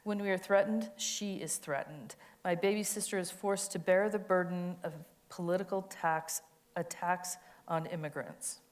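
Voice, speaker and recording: A woman's voice: fairly low pitch, moderate pace. A woman giving a dramatic reading of an autobiographical monologue, with a tender, emphatic storytelling delivery. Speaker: co-reader of a monologue.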